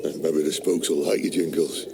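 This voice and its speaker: A man's voice: a bass voice, fairly even intonation, moderate pace, gravelly, background noise. A jittery rustic woodsman. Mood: teasing. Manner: uneasy, rustic dialect speech. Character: superstitious male rural woodsman, jittery. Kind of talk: radio drama acting.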